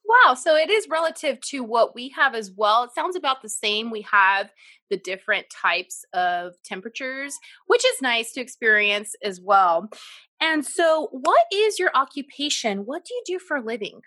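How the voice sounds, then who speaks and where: A woman from California's Central Valley hosts a podcast, upbeat and encouraging as she asks her guest questions. Her voice sits a little high and rings bright and forward. The sound is dry and close. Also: very animated, moderate pace, dry recording. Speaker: podcast host. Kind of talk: casual interview chat.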